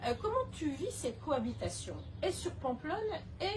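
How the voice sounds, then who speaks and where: Woman interviewer, high voice, familiar and teasing, noisy distant recording. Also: big pitch swings. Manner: brisk, familiar, teasing questions. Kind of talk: casual interview.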